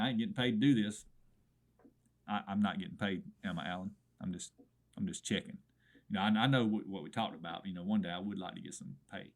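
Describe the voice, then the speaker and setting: A folksy man calling in to a small-town radio trading show, talking things over at mid pitch. He rambles, circles back on himself and keeps turning to the host as brother. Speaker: radio swap shop caller. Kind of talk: comedic call-in segment reviewing listings.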